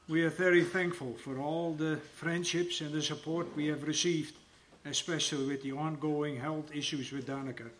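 A man around seventy reads a prepared baptism testimony to his church in a steady, plain way. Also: fairly high pitch, normal speed, few pauses, a little hiss or hum.

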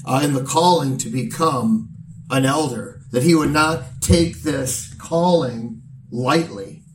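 A man preaching a sermon as pastor, his voice hoarse and gravelly, speaking in an earnest, measured way with pauses. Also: medium pitch, slight background noise. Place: church sanctuary. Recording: roomy sound from a distant mic.

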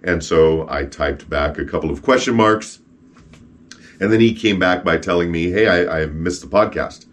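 A man with a rough, froggy voice from a cold hosts a podcast, chatting casually with joking asides and reading stories aloud. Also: low-pitched, normal speed, a bit bright. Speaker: podcast host and street evangelist.

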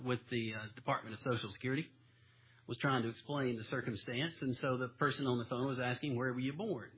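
A man's voice: medium pitch, highs cut off, slight background noise. A man preaching a sermon in a church, folksy and self-deprecating, with homespun stories and plain illustrations. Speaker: pastor. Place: church sanctuary.

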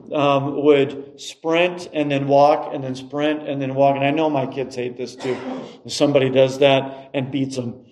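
A man's voice: fairly high pitch, a little mellow, low-quality recording. A middle-aged man preaching an expository sermon, emphatically repeating key phrases as he goes.

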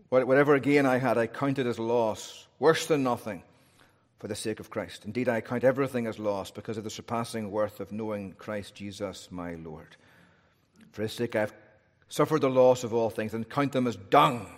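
A man with a Northern Irish accent, preaching a sermon in a relaxed, conversational way with humorous asides. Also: mid-range voice. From Northern Ireland. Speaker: pastor. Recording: long hall reverb.

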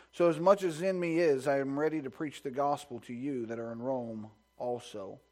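Baptist-style preaching man at a pulpit. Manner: builds to loud, high-pitched preaching fervor; soft, hushed praying voice. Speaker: pastor. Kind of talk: sermon with scripture reading and prayer. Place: church sanctuary.

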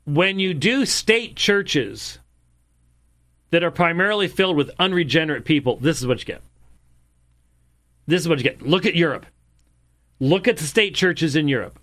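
A man with a rather high, bright and forceful voice comments on clips. He speaks emphatically and hammers his points home by repeating them.

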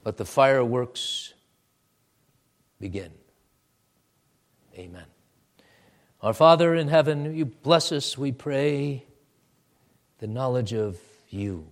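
A man preaching a sermon and leading a prayer, moving from quiet low asides to loud, high exhortation. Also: animated. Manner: deliberate, drawn-out preaching delivery. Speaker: pastor.

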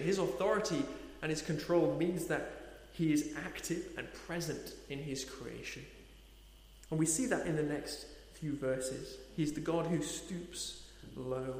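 Earnest male preacher, a little higher-voiced, booming room echo. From Nepal. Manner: earnest, slowing for emphasis, rhetorical questions. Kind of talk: sermon. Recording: echoey hall reverb.

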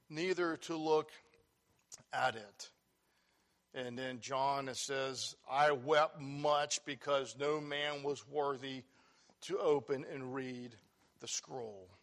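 Preacher, male, loud and earnest, teaching scripture, voice sits a bit high. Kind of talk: sermon, Bible teaching.

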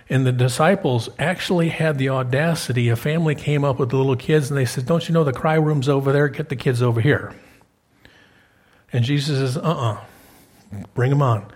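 Male pastor, mid-pitched, homespun and conversational, punches key words. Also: a little mellow. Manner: folksy, joking asides, rising to emphatic peaks on key words. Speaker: preacher. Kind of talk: sermon.

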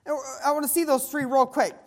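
Female preacher, high pitch, call-and-response sermon, slow scripture reading. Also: steady tone.

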